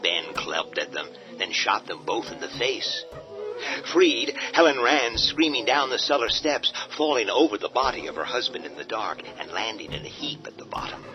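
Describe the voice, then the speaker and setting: A man with a very deep voice narrates a horror tale in a steady, vivid way. Clear music plays under his narration. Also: moderate pace, dull audio. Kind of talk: dramatic storybook narration.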